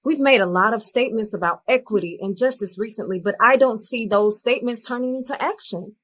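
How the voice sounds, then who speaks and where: A woman's voice: on the high side, a little fast, a bit bright. An actress playing a determined, principled female teacher in a podcast drama. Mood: determined, urging.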